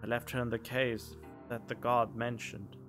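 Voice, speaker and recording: A man voicing a desperate, grieving husband and father, reading game text theatrically, swinging between loud and hushed. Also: medium pitch, little pitch movement, normal speed, faint music behind, lightly acted. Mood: remorseful. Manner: dramatic read-aloud with loud outbursts and hushed moments. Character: grief-stricken, desperate husband and father, pleading.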